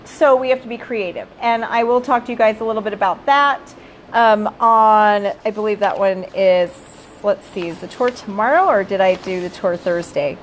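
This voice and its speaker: A middle-aged woman who directs a child care center, giving casual live-streamed advice. She is hoarse from losing her voice and keeps checking in with viewers as "you guys.". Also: mid-range voice, normal speed, slight background noise. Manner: chatty, addresses viewers as "you guys", often tags "right?".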